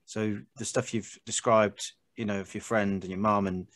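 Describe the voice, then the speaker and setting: Male interviewer, lowish voice, conversational, recorded very dry and close. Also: level tone, normal speed, fairly bright tone, no echo. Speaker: podcast host, interviewer.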